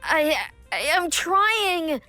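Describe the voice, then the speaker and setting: A woman's voice: very high voice, animated, extremely slow, over music, heavily acted. A woman voicing a timid, anxious young cartoon creature sidekick, meek, hesitant and precise in speech. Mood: worried. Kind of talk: cartoon comic dub acting.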